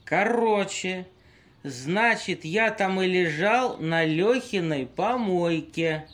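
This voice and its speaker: A man playing a drunken, pompous homeless man in an amateur audio play, halting and stumbling over long words. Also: high voice, fairly bright tone. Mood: tipsy, earnest.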